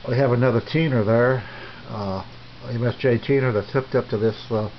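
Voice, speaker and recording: Halting, plain-spoken man on lo-fi audio. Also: medium pitch, steady tone. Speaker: amateur radio hobbyist giving a tour. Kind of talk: casual unscripted equipment tour. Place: small home radio shack, indoors. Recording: dull lo-fi camera audio, faint noise.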